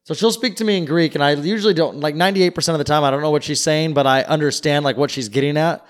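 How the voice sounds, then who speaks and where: A man's voice: a little high, quick pace. A man casually telling a long, rambling story on a podcast and swearing freely. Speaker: podcast co-host. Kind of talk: casual chat.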